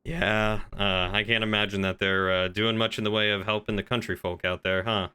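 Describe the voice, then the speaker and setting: Affable male tavern keeper, acted by a man for game roleplay: clear, bright, courteous, folksy. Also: fairly low pitch, flat delivery, moderate pace. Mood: friendly. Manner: polite, folksy, hesitant small talk.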